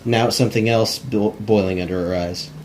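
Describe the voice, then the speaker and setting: Casting: non-actor man as script narrator, lowish, plain, unrehearsed, slight background noise. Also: steady tone, moderate pace, darkish tone. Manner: plain, stumbles over words. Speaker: reader of stage directions. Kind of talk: scripted narration, amateur cold read.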